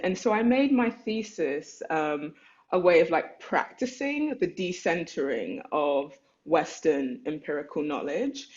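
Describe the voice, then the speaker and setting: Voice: mid-range voice, darkish tone, lo-fi sound. A lecturer giving an online talk about their own thesis, thinking aloud, searching for words, and reading their own letters aloud. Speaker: lecturer and artist.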